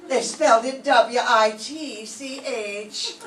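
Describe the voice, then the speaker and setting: A woman's voice: fairly high pitch, slow, fairly bright tone, slight background noise. An older woman reading the part of a chatty widowed landlady in a radio sitcom, gossipy and motherly, recorded from far off in a roomy classroom. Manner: gossipy, motherly, calls others dearie. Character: chatty widowed landlady Mrs. O'Reilly, vain about her age. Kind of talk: 1940s radio sitcom acting.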